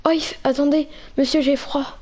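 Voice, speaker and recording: A woman's voice: high-pitched, little pitch movement, normal speed, mellow. A teenage girl improvising a gaming roleplay, exclaiming and often repeating short phrases. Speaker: player in a Minecraft roleplay video.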